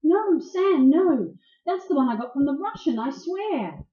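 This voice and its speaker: Distant and echoing, as from a stage. A woman plays a hot-tempered, tense crime drama character in a high voice, in the style of old radio noir. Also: sing-song, measured pace, dark tone. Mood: pleading. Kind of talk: staged radio play acting, 1940s noir. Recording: roomy, dull, distant from microphone.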